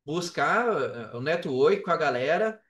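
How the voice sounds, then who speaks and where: A man with a Brazilian accent in casual podcast chat, talking in a slangy, animated storytelling way. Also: on the high side, measured pace. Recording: roomy echo, distant from mic.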